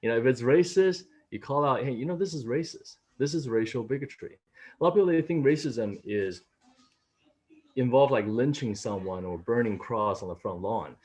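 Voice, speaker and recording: A man's voice: mid-range voice, moderate pace, breathy edge. A man in his late thirties from Taiwan, telling his own experiences on a video call, his voice jumping up when he quotes people. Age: late 30s. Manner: animated storytelling, voice jumps up when quoting. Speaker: panelist. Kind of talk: casual discussion on a video call. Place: home room. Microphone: laptop mic on video call.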